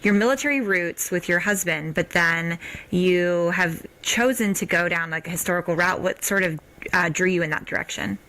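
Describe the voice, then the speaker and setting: A woman's voice: on the low side, moderate pace, bright, forceful tone, low-quality recording. An American woman hosting a casual interview, with informal, hesitant phrasing. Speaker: podcast host, interviewer.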